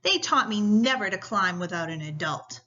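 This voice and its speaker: A woman's voice: mid-range voice, animated, moderate pace, sharp tone, muffled recording, slight background noise. A woman reading the words of a cautious young child in a storybook, wary and firm about safety. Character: cautious young child who refuses risky climbing.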